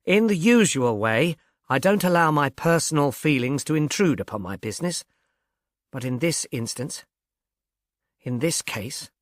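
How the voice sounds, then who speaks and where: A man's voice: on the high side, animated, dry recording, clean studio sound. Man doing a stiff, strained little lawyer. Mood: strained, courteous. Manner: stiff, halting, courteous. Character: little male lawyer, strained, dignified, formal.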